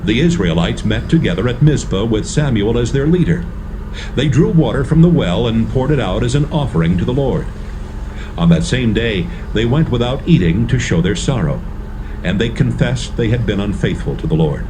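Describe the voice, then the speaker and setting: A man giving measured, storytelling narration for a dramatised audio Bible. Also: mid-range voice, animated, noisy background.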